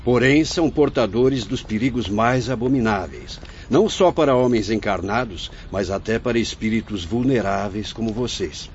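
Man playing a benevolent old sage, expressive Brazilian voice. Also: lowish voice, slight background noise, natural voice. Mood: grave, patient. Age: older. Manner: measured, benevolent, didactic. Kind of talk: Brazilian radio drama acting. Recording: muffled audio with music.

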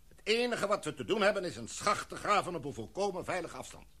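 A commanding expedition chief, played by a man, holds the floor of a meeting in a radio drama. His voice is bright and forceful, a little high for a man, and his tone stays formal and decisive. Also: sing-song, fast, slightly rough, full character voice. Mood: measured. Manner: formal, speech-like address to a meeting. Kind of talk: radio play acting.

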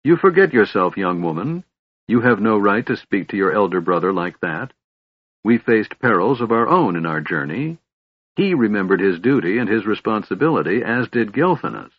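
A man's voice: on the low side, expressive, moderate pace, slightly rough, no room sound, muffled recording. A man voicing an old elven father and ruler, stern and commanding, with tight, formal speech in a fantasy audiobook. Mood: stern rebuke.

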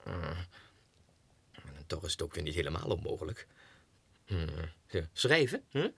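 Excitable self-doubting clerk, 1960s radio drama, breathy and hoarse-edged, creaky, muttered halting monologue. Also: male voice, rumbling low, heavily acted. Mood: brooding. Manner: halting, muttering, talking to himself.

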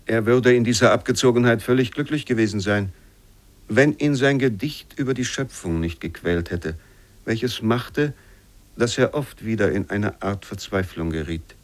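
A man reading literary prose for radio in a measured, formal storytelling manner. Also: fairly low pitch, lightly acted. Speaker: reader of literary excerpts.

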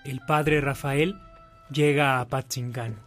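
A man's voice: mid-range voice, fairly even intonation, slow, fairly bright tone, soft background music. A man narrating a scripted radio drama.

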